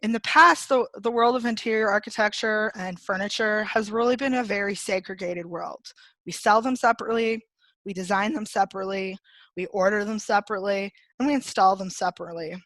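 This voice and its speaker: A woman's voice: mid-range voice, fairly quick, fairly bright tone, dry recording. A woman with a Canadian accent presenting a web seminar over a video call in a friendly, explanatory way. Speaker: webinar presenter.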